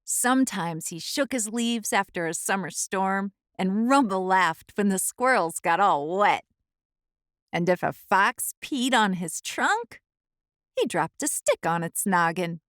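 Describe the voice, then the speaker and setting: A woman reading a children's storybook aloud as the host, in an expressive, deliberate storytelling style. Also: medium pitch, dry recording, studio quality.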